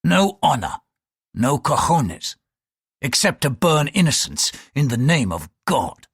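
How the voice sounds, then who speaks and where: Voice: mid-range voice, big pitch swings, takes it slowly, breathy edge, no echo, studio quality, voice pushed up, heavily acted. An audiobook reader voicing a bold, taunting ship's pilot who talks bluntly and defiantly. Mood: scornful, defiant.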